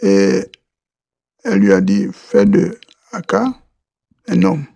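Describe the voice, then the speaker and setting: A man from Côte d'Ivoire, a podcast guest answering interview questions, halting and often repeating words as he restarts. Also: high voice, huge pitch swings, vocal fry, mellow, no echo.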